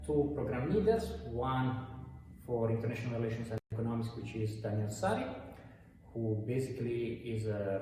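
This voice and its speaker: A man's voice: on the low side, warm tone, a little hiss or hum. A man from Italy with an Italian accent, a university dean answering interview questions with many hesitations and restarts. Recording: echoey hall, voice from far off.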